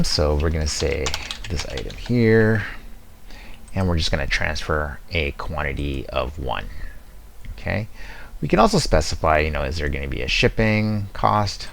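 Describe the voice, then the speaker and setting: Male tutorial presenter, extremely low voice, casual step-by-step demo narration, conversational and explanatory. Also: gravelly, slight background noise.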